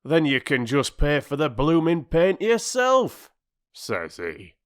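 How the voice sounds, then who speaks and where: A man voicing a gruff grown bargeman telling of his own wrongs in rough dialect. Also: on the high side, huge pitch swings, slow, pitched above the performer's own voice, exaggerated voice. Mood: aggrieved. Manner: rough dialect, grumbling complaint.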